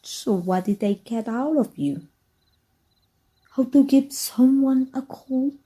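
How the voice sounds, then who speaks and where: A sly former magic teacher with dark powers, played by a woman from Mexico. Her voice is very dark and mellow, breathy at the edges, and she drifts along at an unhurried crawl. She half-whispers, low and teasing, close and intimate in the ASMR manner. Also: exaggerated voice. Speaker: voice actor. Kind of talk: ASMR roleplay.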